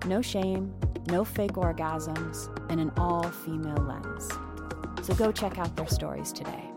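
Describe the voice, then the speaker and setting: A woman's voice: on the low side, measured pace. A woman, a show's creator, reading a scripted sponsor message. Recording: music behind the voice. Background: music.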